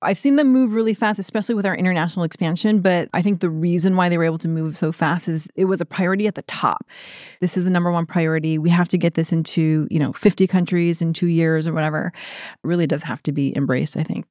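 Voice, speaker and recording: A woman's voice: on the low side, fairly even intonation, fast talker, a little mellow, dry recording, studio quality. A woman in her thirties, from Korea and speaking with an American accent, talks conversationally as a founder in an interview, peppering her speech with "like.". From South Korea. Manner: upbeat, conversational, frequent "like" fillers. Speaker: guest, company founder.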